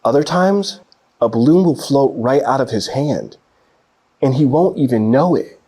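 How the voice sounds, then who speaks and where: A man reading a children's picture book aloud in an expressive storytelling style. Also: medium pitch, a little slow, husky.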